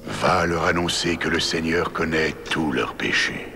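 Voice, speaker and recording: An actor voicing God in a cartoon, solemn and commanding, with stern authority. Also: male voice, extremely low pitch, animated, a little slow, creaky, heavily acted. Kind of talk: animated cartoon dubbing. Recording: loud brassy music behind the voice.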